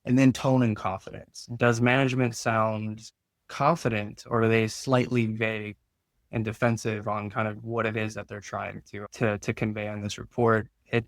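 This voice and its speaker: A man's voice: fairly low pitch, dry recording. A man giving informal, explanatory answers as a finance expert in an interview.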